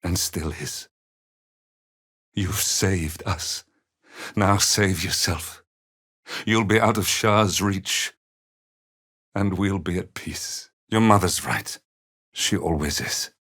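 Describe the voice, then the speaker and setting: A man playing a gentle, weary older father in a fantasy video game, speaking in measured, earnest, formal phrases. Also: deep voice, slightly rough, full character voice. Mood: tender, solemn. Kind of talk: dramatic fantasy game dialogue.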